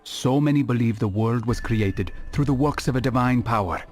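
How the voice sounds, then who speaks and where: A man's voice: medium pitch, a little mellow, lightly acted. Grave male assassin, voiced by an actor, over music. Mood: brooding. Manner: formal, deliberate phrasing. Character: grave, philosophical male assassin, stern and measured. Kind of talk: video game cutscene acting. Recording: game audio with music behind.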